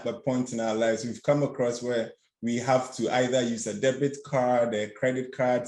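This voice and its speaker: A young man from Ghana presents over a video call in a halting, slightly nervous way, stumbling and restarting with fillers as he goes. His middle-pitched voice sits in a noticeably roomy, echoing space. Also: darkish tone. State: a bit nervous. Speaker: student workshop facilitator. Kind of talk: webinar presentation. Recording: roomy echo.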